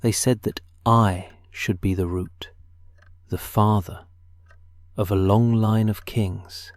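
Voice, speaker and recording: Guy doing a broody cat noble in a shakespeare audio play, slowish n deliberate, lowish voice, super dry sound. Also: steady tone, no echo. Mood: musing, hopeful. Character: brooding male cat nobleman, suspicious and quietly ambitious. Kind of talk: theatrical Shakespearean audio drama monologue.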